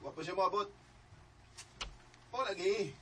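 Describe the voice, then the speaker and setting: A young Cebuano student suitor in a radio drama. He is a smooth talker with a soft, coaxing, pleading way of speaking. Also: high voice, takes it slowly, heavily acted. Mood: sly, boastful. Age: young adult.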